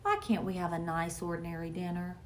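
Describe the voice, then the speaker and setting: A woman's voice: lowish voice, level tone, fairly quick, a little hiss or hum, natural voice. A woman voicing an exasperated, long-suffering mother of rowdy boys in a storybook, weary and disapproving.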